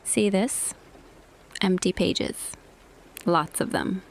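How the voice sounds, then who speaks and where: A woman playing a tender, quietly smitten office boss in an intimate ASMR roleplay, speaking softly and deliberately, often half whispering. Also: mid-range voice, gravelly, slight background noise. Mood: tender, hesitant. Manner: slow, hushed, deliberate, pausing. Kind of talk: ASMR roleplay audio, intimate scripted monologue.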